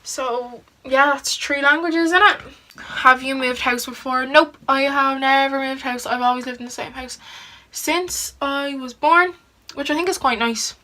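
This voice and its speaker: A teenage girl chats her way through a makeup vlog, easygoing and full of fillers. Her voice is bright and slightly high, with a clear, sunny ring to it. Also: fairly even intonation, measured pace. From Ireland. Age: teens. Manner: chatty, giggly, lots of "like" fillers. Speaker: beauty vlogger. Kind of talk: casual get-ready-with-me chat.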